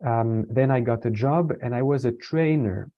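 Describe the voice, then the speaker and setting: A man from France giving a casual online talk, relaxed and hesitant, with many fillers and restarts. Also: mid-range voice, normal speed. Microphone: computer mic on a video call. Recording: dull lo-fi video call audio.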